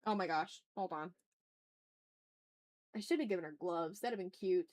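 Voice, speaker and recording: A woman's voice: on the low side, moderate pace, darkish tone, dry recording. A woman livestreaming as she draws, chatting casually in slang and muttering to herself with playfully dramatic, exasperated asides. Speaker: art livestreamer, host.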